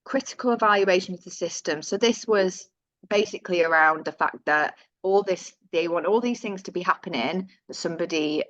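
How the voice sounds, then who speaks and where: Low-voiced woman presenting webinar findings. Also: brisk, a bit bright, no echo. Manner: conversational, with self-corrections and asides. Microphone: computer mic on a video call. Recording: dull, lo-fi webinar audio.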